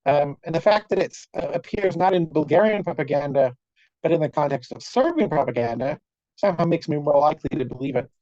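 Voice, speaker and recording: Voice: medium pitch, expressive, brisk, dark tone, no echo. A conference speaker giving an academic lecture over a video call, with wry, dry humour and frequent fillers and restarts. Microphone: computer mic on a video call. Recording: dull, lo-fi video call audio.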